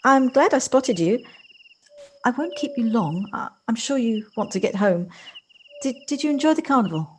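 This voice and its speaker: A woman acting out an earnest, courteous visitor in an audio play. Her voice sits fairly high, and she speaks tentatively, tripping gently over her words as she tries to be kind. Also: lively intonation, moderate pace, a little hiss or hum, close to an everyday voice. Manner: hesitant, stammering, polite. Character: polite, hesitant woman visitor to a small town, kindly and earnest. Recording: amateur home recording on personal device.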